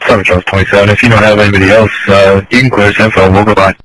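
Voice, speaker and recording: A man's voice: fairly low pitch, level tone, rapid-fire, a bit bright, slight background noise, low-quality recording. A man exchanging terse police calls over a scanner radio. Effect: police radio transmission.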